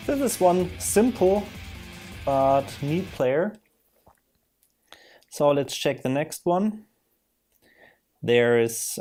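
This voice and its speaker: A man narrating a casual software screen demo in a halting way, thinking aloud as he goes. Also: medium pitch. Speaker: software demonstrator. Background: music from audio players being demonstrated.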